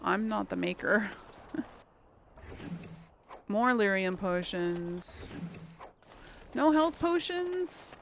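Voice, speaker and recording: Animated woman chatting through a video game. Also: medium pitch, extremely slow, vocal fry, pauses often, slight background noise. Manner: chatty, talks to herself and to game characters. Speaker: let's play host. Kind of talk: casual live gameplay commentary. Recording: game music under the voice. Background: video game music.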